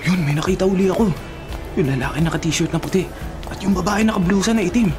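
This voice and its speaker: A Filipino man acting a sweet, earnest young boyfriend in a radio drama, tender, pleading and expressive. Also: sits high, quick pace, close to an everyday voice. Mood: playful, coaxing. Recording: music playing behind the voice.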